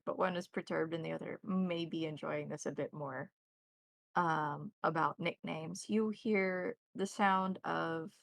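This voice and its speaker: A woman's voice: on the low side, vocal fry, fairly bright tone, no room sound. A woman acting as game master, narrating a tabletop roleplaying game and chatting casually with the players.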